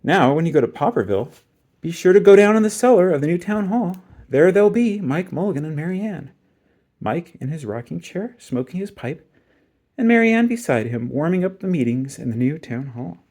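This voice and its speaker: A man reading a children's story aloud in an expressive, dramatic and emphatic way. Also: on the high side, fairly quick. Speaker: storybook reader.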